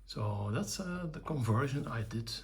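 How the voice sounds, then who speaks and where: A middle-aged or older man giving an unscripted technical demonstration in a matter-of-fact, hesitant way, with a husky, gravelly voice. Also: medium pitch, expressive, a little slow. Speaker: electronics hobbyist presenter.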